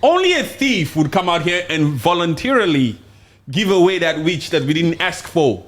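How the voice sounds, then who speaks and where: A man from Congo whose first language is Swahili, talking politics in an animated, joking way. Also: on the high side, moderate pace. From Democratic Republic of Congo. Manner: animated, joking, bursts of loud exclamations. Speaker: show host. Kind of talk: casual political chat.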